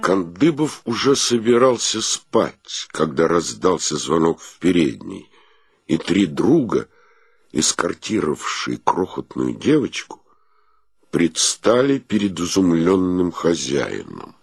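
A man's voice: deep voice, big pitch swings, slow, creaky, husky, no room sound, exaggerated voice. An actor playing a wry literary narrator, a man telling a comic tale in a radio play. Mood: measured.

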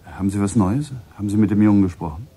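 An actor playing a composed, probing man negotiating a ransom in a radio play, with a husky voice and terse, businesslike questions. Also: fairly low pitch, quick pace, dark tone, natural voice. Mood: probing, controlled. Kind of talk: German radio play acting.

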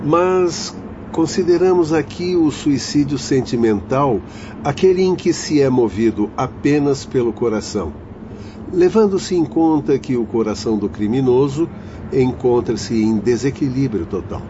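A man's voice: mid-range voice, expressive, measured pace, natural voice. An actor playing a learned, solemn fatherly doctor and spirit guide in a radio drama, speaking formally and didactically. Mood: solemn, instructive. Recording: dull lo-fi audio with faint noise.

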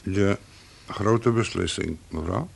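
Deep, gravelly, laconic henchman. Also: takes it slowly, full character voice. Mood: dry, menacing. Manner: dry, falsely apologetic. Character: laconic henchman with mock-courteous menace. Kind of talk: Dutch radio play acting. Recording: old radio play with hiss.

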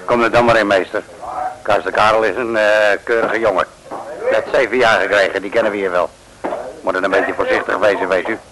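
An actor playing a gruff, stubborn innkeeper who speaks curtly and in street slang, in an old radio play. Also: male voice, on the low side, fairly even intonation, fairly quick, crowd noise, lightly acted. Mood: grudging. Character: gruff stubborn male innkeeper, curt and grudging. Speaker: bar owner. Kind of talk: 1950s radio play acting. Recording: noisy old radio broadcast.

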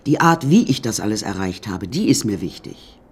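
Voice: mid-range voice, sing-song, normal speed, vocal fry, slightly rough, full character voice. An actor playing an imperious, eccentric older woman painter in a radio drama, haughty and theatrical, addressing the listeners directly. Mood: haughty, complaining.

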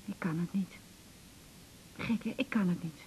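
An actress playing a candid, gently wry and anxious older mother in a radio drama, confiding in a hesitant way. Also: lowish voice, moderate pace, breathy edge. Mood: anxious, embarrassed. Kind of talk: naturalistic radio drama acting.

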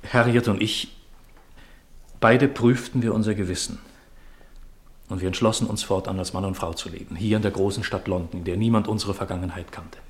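Grave, troubled widower, lowish voice. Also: male voice, fairly even intonation, brisk. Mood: burdened, confessing. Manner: grave, formal, confessional. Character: troubled, guilt-burdened widower confiding his forbidden love. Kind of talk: 1950s German radio drama acting.

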